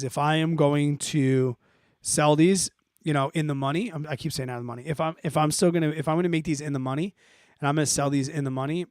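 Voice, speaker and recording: A man's voice: a little high, smooth, no room sound, clean studio sound. A man hosting an investing live stream, explaining off the cuff in an informal, blunt way, thinking aloud and checking in as he answers viewer questions.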